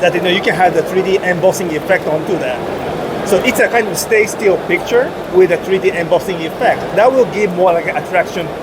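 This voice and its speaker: A man's voice: high voice, fairly quick. Man peppering speech with "you know". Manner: filler-heavy, many "you know"s. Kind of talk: panel talk at a trade event. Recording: loud background noise.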